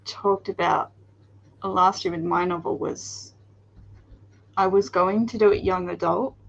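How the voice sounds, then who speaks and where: A woman's voice: lowish voice, fairly even intonation, a little slow, creaky, dull audio. A woman writer and podcast host chatting casually, giving short encouraging replies and talking about her own novel. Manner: brief, encouraging responses. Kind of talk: casual interview chat.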